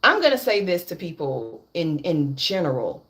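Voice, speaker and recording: A woman's voice: low voice, very animated, moderate pace, fairly bright tone. A woman in her late thirties speaking on a candid livestream, blunt and profane, repeating her points for emphasis. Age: 30s. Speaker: livestream host.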